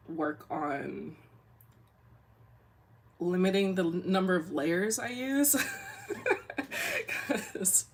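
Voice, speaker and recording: A young woman with a deep voice, sniffling, chatting casually on a drawing livestream and rambling with lots of fillers. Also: husky. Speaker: comic artist streamer.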